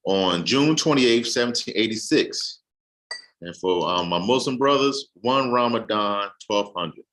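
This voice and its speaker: A man giving a casual explanatory talk, reading a text aloud with halting restarts. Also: on the low side, expressive, a bit bright, slight background noise. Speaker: host.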